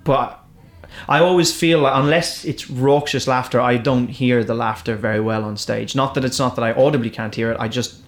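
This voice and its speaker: A man's voice: mid-range voice, fairly even intonation, normal speed. A man with an Irish accent, a stand-up comedian chatting casually and rambling through his stories with restarts. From Ireland. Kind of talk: casual chat.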